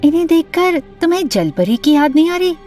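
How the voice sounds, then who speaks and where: High-voiced anxious, lovestruck student girl. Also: a little fast. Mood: dreamy confiding. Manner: expressive, confiding, dramatic. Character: lovestruck, anxious, imaginative young female student telling her story. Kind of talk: animated first-person story narration and dialogue. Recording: music bed under the voice.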